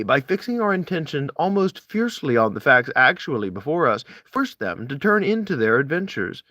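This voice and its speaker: Male reader for literary essays: emphatic and expressive, mid-pitched with wide swings, dry recording. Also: no room sound. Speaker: amateur audiobook reader.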